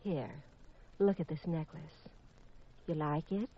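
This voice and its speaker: Flirty widow on vintage radio. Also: female voice, low voice, slow, dry recording. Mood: challenging. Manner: coy, teasing, unsentimental. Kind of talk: 1950s radio detective drama acting. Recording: dull vintage radio recording.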